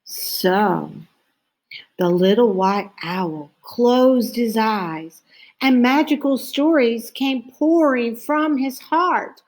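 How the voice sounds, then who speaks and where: Woman, children's storybook reader, higher-pitched voice, very slow, expressive, drawn-out phrases. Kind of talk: children's story read-aloud.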